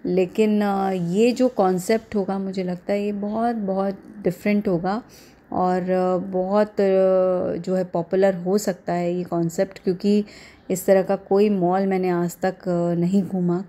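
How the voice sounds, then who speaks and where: Woman, Indian accent, lowish voice, very even intonation, halting delivery, casual vlog narration. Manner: hesitant, halting delivery with repeated filler phrases. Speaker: vlogger.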